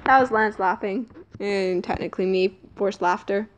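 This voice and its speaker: A woman's voice: medium pitch, normal speed, poor audio. A woman doing an amateur fan comic dub, reading casually with self-conscious, apologetic asides. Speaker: comic dubber.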